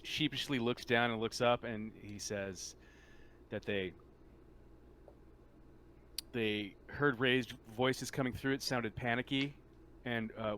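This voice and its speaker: A man running a tabletop game as game master, narrating casually and haltingly. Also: lowish voice, fairly bright tone, a little hiss or hum.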